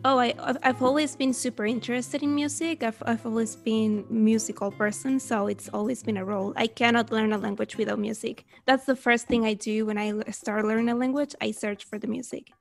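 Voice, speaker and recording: A woman's voice: a little high, steady tone, brisk, gravelly, hardly any pauses, soft background music. A young woman from Venezuela, eagerly telling stories as a guest in an interview. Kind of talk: casual interview chat.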